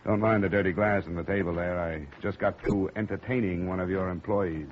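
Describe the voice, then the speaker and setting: An actor playing a tough, sardonic male insurance investigator in a 1950s radio drama, talking in a dry, needling deadpan. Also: deep voice, a little fast, close to an everyday voice. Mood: coolly probing. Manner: wry, needling, deadpan menace. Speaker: lead detective and narrator. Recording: dull old radio broadcast, faint hiss.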